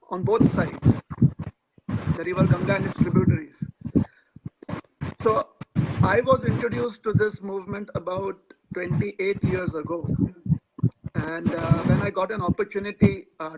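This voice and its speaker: A man, speaking as a guest in a live interview, pausing often with filler sounds. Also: high voice, creaky, background noise. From India. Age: 40s. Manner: hesitant, many filler sounds.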